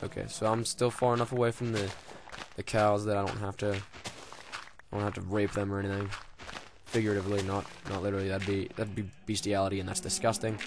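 A man's voice: fairly low pitch, little pitch movement, fairly quick, background noise. Rambling, profane young man gaming. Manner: rambling, profane, joking, many fillers. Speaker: gaming video host. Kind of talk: casual game commentary.

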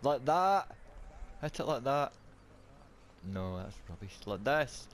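Male gamer, very slow-paced yet lively in pitch, giggly and sweary, casual banter over noisy game chat. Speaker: video game player. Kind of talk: casual banter during online gaming.

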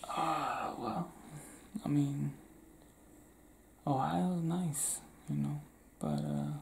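A young man from Cleveland doing an intimate ASMR roleplay, talking softly and often whispering, recorded close in a small indoor room. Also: fairly high pitch, very slow, creaky, a little hiss or hum. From Cleveland, Ohio.

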